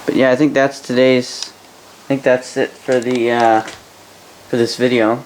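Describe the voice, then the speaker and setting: A man's voice: mid-range voice, level tone, rough recording. Hesitant young Canadian man, faint background noise. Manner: hesitant, halting, rambling, often repeating words. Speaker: vintage radio hobbyist and host. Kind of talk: casual unscripted demonstration. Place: indoor workbench in a room.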